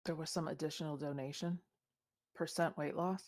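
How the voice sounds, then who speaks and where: A woman co-hosting a podcast in casual chat, animated and full of questions. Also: low-pitched, normal speed. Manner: animated, inquisitive, laughing. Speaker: podcast co-host.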